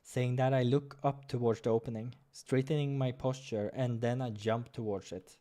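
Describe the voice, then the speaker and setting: A man's voice: mid-range voice, steady tone, moderate pace, mellow. A man reading a visual novel aloud as a let's player, dramatic with casual asides and the odd stumble, over music. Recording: music behind the voice.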